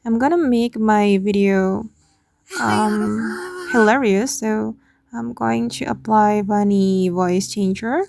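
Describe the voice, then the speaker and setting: A woman hosting a casual step-by-step tutorial, narrating what she does on screen in a creaky voice. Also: mid-range voice, slow pace, background noise. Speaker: tutorial host.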